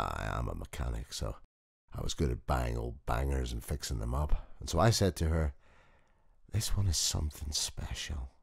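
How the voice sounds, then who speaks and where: A man performing a dramatic audiobook monologue as an old working-class widower mechanic, gentle, proud and nostalgic, telling his story in a conversational way. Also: extremely low pitch, vocal fry, breathy edge, exaggerated voice. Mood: fond, reminiscing. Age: older.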